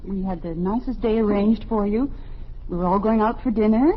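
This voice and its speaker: An actress playing a loving but firm middle-aged wife and mother in a 1950s radio sitcom, gently reproving and persuasive. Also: medium pitch, moderate pace, close to an everyday voice. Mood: pleading, firm. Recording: dull, noisy old radio recording.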